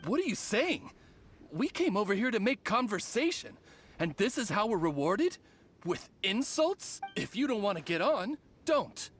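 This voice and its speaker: Shifty bandit, very animated pitch. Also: male voice, unhurried, husky, full character voice. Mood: indignant. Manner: falsely polite, turning indignant and menacing. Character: shifty male bandit posing as a friendly merchant. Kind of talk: anime-style game voice acting. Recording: music behind the voice.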